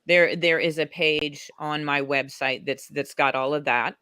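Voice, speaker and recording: A woman's voice: low voice, steady tone, measured pace, bright, forceful tone, smooth, dry recording. An American woman, a guest on an online talk show, chatting casually and rambling with many restarts. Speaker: guest advocate.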